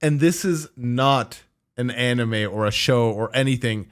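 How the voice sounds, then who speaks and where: An easygoing man co-hosting a laid-back chat while watching anime. He talks loosely, tossing in yeah and man. His pitch sits in an ordinary middle range. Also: measured pace, clean tone. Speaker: co-host. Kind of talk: casual reaction chat.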